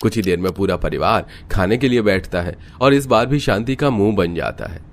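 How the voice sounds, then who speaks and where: A man's voice: fairly low pitch, animated, a little fast. A man reading scripted narration for a cartoon story.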